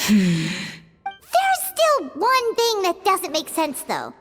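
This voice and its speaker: A woman voicing a tiny, childlike floating companion girl in a video game, squeaky, blunt and inquisitive. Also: wildly swooping pitch, very slow, heavily acted. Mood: puzzled. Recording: long reverb, loud music behind.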